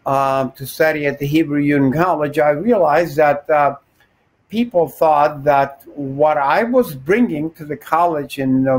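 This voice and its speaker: An older man from Turkey, a rabbi, giving measured, scholarly explanations as an interview guest. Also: fairly high pitch.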